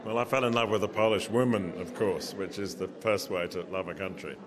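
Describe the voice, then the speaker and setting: Chatty low-voiced man, crowd behind. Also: fairly even intonation, fairly quick. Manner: chatty, anecdotal, many fillers. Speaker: interviewee. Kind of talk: casual chat.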